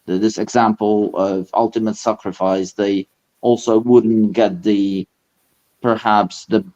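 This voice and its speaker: Low-voiced guy on a panel, halting and earnest, muffled lo-fi call sound. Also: a little mellow. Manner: halting, earnest, with many repeated words. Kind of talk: casual panel discussion. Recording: dull lo-fi call audio.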